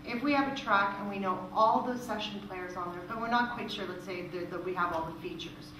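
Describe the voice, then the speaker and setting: A woman's voice: medium pitch, fairly quick. A woman answering audience questions at an informal presentation, explaining things and repeating phrases for emphasis. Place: echoey room at a live presentation. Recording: echoey room, distant mic, clear background noise.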